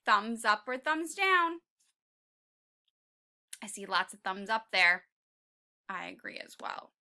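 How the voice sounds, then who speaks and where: Bright, very animated woman reading to children. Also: mid-range voice, slow pace. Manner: encouraging, expressive, puts questions to the children. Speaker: second grade teacher. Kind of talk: interactive children's story read-aloud.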